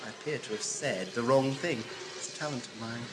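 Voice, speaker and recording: A man's voice: mid-range voice, brisk, background noise, close to an everyday voice. A man playing a flippant, evasive young offworld traveller in an audio drama, with a wry, glib, nervy manner. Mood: nervy, joking.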